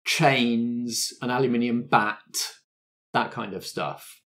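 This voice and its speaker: A man's voice: mid-range voice, slow pace, fairly bright tone. Man running a tabletop game, conversational. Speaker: game master. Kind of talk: narrating a tabletop roleplaying game.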